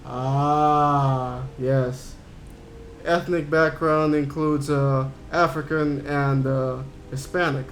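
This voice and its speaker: A man's voice: a little high, little pitch movement, extremely slow, slight background noise. A man from Curaçao streaming a game, chatting casually and answering his chat in a rambling way. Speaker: gaming streamer.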